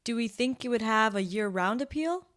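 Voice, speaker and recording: An actress playing a doubtful government staffer, hesitant and mild in naturalistic meeting dialogue. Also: female voice, on the high side, lively intonation, moderate pace, fairly bright tone. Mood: tentative, doubtful. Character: tentative, doubtful government staffer, adult woman.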